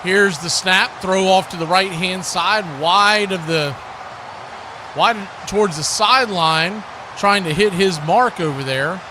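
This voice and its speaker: A high-pitched male sports announcer calls a football game live, play by play. His voice comes over a clearly noisy stream. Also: measured pace.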